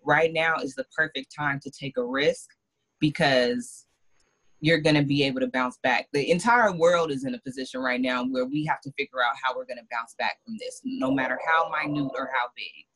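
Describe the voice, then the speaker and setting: Bright-voiced woman talking business. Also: a bass voice, normal speed, slightly rough, low-quality recording. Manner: earnest, reflective. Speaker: guest, business owner. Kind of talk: casual interview chat.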